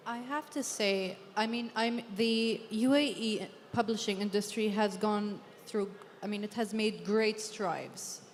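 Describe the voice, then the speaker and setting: A woman's voice: mid-range voice, unhurried, hardly any pauses. Woman, native Arabic speaker, earnest panel talk, echoing hall, faint hum. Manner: earnest, thinking aloud. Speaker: author on a book fair panel. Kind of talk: panel discussion. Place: large hall at a book fair. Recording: long hall reverb, faint background hum.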